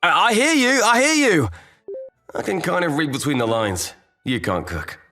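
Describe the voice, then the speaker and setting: An actor playing an easygoing, kindly young stepbrother in a manga dub, narrating and speaking casually. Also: male voice, on the high side, sing-song, moderate pace, bright, forceful tone, full character voice. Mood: teasing, placating. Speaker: narrator and lead character.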